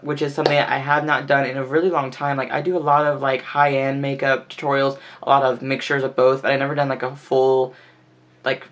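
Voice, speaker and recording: A man's voice: mid-range voice, fairly bright tone. Stuffy-voiced chatty male beauty vlogger. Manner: chatty, fills speech with "like". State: stuffy, nasal from sneezing. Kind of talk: casual makeup tutorial talk.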